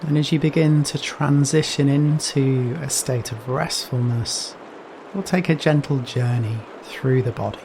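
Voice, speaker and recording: A man leading a guided meditation in a gentle, soothing manner, leaving long pauses between phrases. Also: medium pitch, creaky. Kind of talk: guided Yoga Nidra meditation. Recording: steady rain noise behind voice. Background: rain sounds.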